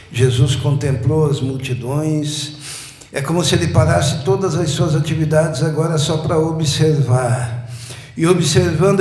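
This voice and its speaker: A man, preaching a sermon slowly and emphatically, building up repeated phrases. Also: a little high, a little mellow. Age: 60s. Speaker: pastor. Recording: echoey church room.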